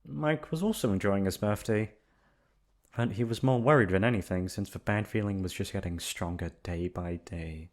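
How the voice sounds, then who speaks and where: Male narrator, low register, ASMR bedtime storybook reading. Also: moderate pace, darkish tone. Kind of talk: soft storybook narration, ASMR sleep aid.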